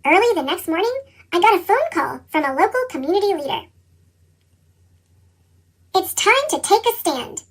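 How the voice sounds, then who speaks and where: A reader with a squeaky, pitched-up chipmunk effect, narrating a children's picture book and acting out the dialogue expressively. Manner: expressive storytelling, acts out dialogue.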